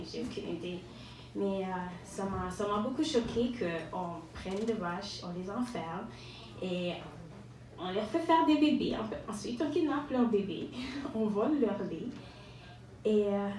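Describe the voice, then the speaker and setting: A woman from Rwanda performs a self-mocking, ironic stand-up monologue, often pausing and repeating words. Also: medium pitch, a little mellow. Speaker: stand-up comedian. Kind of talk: stand-up monologue to a live audience. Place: live venue with an audience. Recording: echoey room, distant mic, audience noise.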